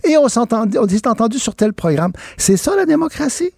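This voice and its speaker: A man with a Quebec French accent argues his point emphatically in a radio studio. He talks quickly with lively swings in pitch, and his voice has a mellow, dark tone. The sound is close and dry. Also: creaky, dry recording. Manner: emphatic, argumentative, many restarts. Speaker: political commentator. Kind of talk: radio debate chat.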